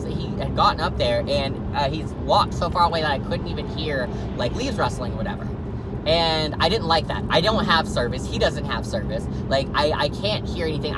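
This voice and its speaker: A man's voice: a little high, heavy background noise. A man in his thirties telling a storytime vlog in a rambling way from a car, filmed on a phone on the dashboard. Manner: rambling, restarts, many likes. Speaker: vlogger. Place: parked car, indoors. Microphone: phone camera on dashboard.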